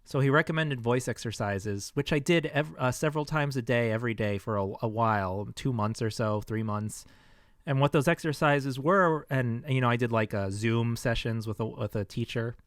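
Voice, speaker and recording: A man's voice: mid-range voice, normal speed, no room sound. A man with a tired, scratchy, fatigued voice, talking casually into a close microphone in a rambling, hesitant way. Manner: rambling, hesitant, many restarts and fillers. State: vocal fatigue, scratchy throat. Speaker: vlogger sharing health experience. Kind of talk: casual personal vlog.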